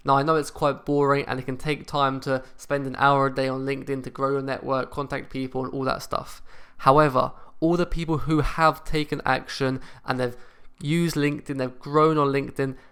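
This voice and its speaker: Smooth, flat-voiced man giving advice. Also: medium pitch, moderate pace. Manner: direct, steady, lecturing tone. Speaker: football career coach and channel host. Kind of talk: motivational advice talk to camera.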